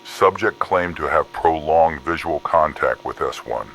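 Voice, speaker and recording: A man's voice: rumbling low, expressive, measured pace, gravelly, a bit bright, slight background noise, exaggerated voice. A man reading scripted horror case files in a formal, clinical manner. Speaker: narrator of fictional case files. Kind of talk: scripted analog horror document narration.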